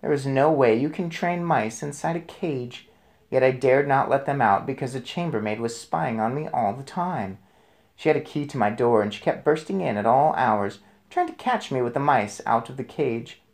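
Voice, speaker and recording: A man narrating a children's storybook aloud in an expressive read-aloud style. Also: medium pitch, moderate pace.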